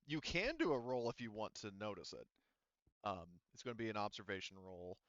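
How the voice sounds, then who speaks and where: A man's voice: lowish voice, very animated, a little fast, no echo, dull audio. A man speaking informally as game master, narrating a tabletop roleplaying game casually and swearing freely.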